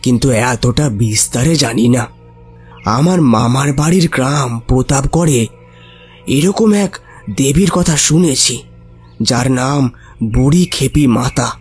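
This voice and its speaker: A man's voice: medium pitch, a little slow, pauses often, husky, faint music behind. An actor playing a curious, respectful village man, speaking earnestly and deferentially in an audio horror story. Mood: earnest, reverent.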